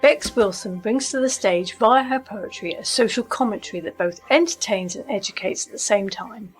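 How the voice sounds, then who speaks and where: A woman's voice: medium pitch, normal speed, faint music behind, natural voice, rough recording. A woman playing a teasing companion in radio play dialogue, talking in a casual, dry way. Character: teasing woman companion reading out online reviews.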